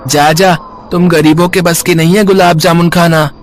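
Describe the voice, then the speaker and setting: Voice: medium pitch, fairly even intonation, moderate pace, soft background music, close to an everyday voice. A performer voicing a childish village boy in a storybook tale, with simple childlike delivery. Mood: boastful.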